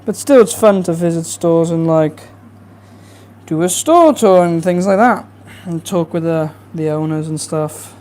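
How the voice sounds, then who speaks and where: A young adult fishkeeping streamer chatting casually and rambling with fillers during a livestream from a home fish room, with a soft, relaxing voice. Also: mid-range voice, unhurried. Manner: easygoing, rambling, many fillers. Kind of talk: casual livestream chat while cleaning tanks.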